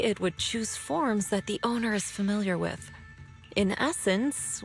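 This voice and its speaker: A composed female sword master speaks in a slightly raised, measured voice. She explains things patiently, like a guide in a video game. Soft game music plays faintly underneath. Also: on the high side, gravelly.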